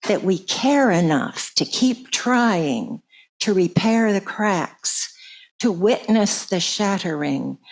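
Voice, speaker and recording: A woman giving a solemn, deliberate reading of a poem at a service, in short measured phrases. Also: fairly low pitch. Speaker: reader of chalice lighting words.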